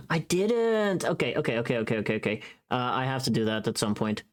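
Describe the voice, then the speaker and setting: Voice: medium pitch, fairly quick. A livestream host chatting casually, rambling and thinking aloud to themselves.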